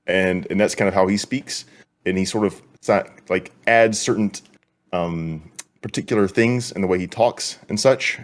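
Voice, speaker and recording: A young man talking casually as a guest, easygoing and chatty. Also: fairly low pitch. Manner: easygoing, chatty with light laughs. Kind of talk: casual chat.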